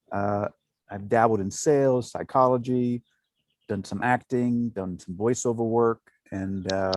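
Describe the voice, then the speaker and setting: An actor from Pittsburgh, upbeat and chatty, introducing himself on a video call. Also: male voice, mid-range voice, a little mellow, no echo. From Pittsburgh, USA. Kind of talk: casual video call chat.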